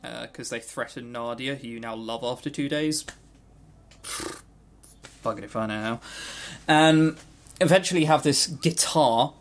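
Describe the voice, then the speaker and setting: A man co-hosting a podcast, giving an unscripted, exasperated, sweary review with emphatic delivery. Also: creaky. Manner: mimics characters in high exaggerated voices. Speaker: podcast co-host reviewing visual novels.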